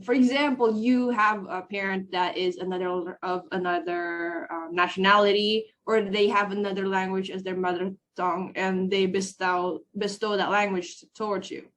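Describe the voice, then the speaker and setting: A woman's voice: medium pitch, fairly bright tone. A woman from Indonesia with an Indonesian accent, a native Indonesian speaker, arguing her side firmly as a panelist in a discussion. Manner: assertive, opinionated, quick bursts. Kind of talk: debate-style discussion.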